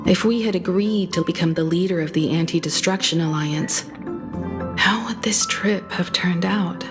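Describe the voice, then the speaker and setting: An actress playing a thoughtful adventurer and adviser in a video game, reasoning things out in an articulate way. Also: female voice, on the low side, normal speed, close to an everyday voice. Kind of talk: scripted game voice acting. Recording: loud music behind.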